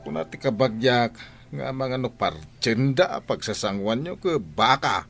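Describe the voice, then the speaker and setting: A man's voice: medium pitch, lively intonation, measured pace, gravelly, a bit bright, faint music behind, exaggerated voice. An actor with an Ilocano Filipino accent playing a gossipy male buddy in a radio drama. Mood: chatty. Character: animated male buddy gossiping about his cousin.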